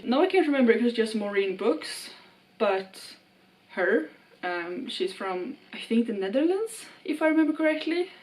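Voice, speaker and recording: A young woman from Sweden with a Swedish accent, vlogging casually about books in a hesitant, self-deprecating way, trailing off quietly. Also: mid-range voice, distant mic, a little hiss or hum, low-quality recording. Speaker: book vlogger. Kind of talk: casual vlog answering a tag.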